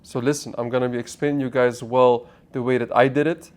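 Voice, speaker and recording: A man's voice: medium pitch, flat delivery, fairly quick. Blunt Dutch-raised man in his twenties. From Netherlands. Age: 20s. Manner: blunt, swearing, restarts mid-sentence, addresses viewer directly. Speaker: online business vlogger. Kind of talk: unscripted motivational talk to camera. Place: apartment.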